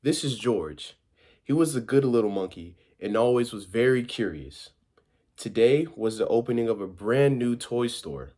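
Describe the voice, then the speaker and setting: A man's voice: medium pitch, animated, normal speed, dark tone. A man reading a children's story aloud as a volunteer, informal and occasionally stumbling over his words.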